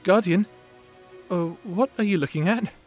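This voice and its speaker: A man acts out a bashful young romantic hero with a fairly high voice. He speaks slowly, with halting stammers and flustered hesitation, in a fantasy audio drama over background music. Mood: flustered, apologetic. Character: shy, flustered young man confessing love to his guardian. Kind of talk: dramatic audio roleplay acting.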